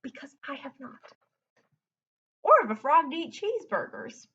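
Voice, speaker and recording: High-voiced woman reading a children's storybook. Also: big pitch swings, moderate pace, pushed and bright, muffled recording. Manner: explains simply, as to young children. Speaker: read-aloud host. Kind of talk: picture book read-aloud with chatty asides. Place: indoors at home, sitting on the floor.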